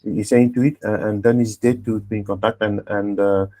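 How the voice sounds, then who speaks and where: Mellow-voiced German-native man coaching applicants. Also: lowish voice, little pitch movement, fairly quick. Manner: emphatic advisory tone, tag questions like "huh?" and "okay?". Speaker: admissions coach and webinar host. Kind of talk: online webinar talk with a student guest.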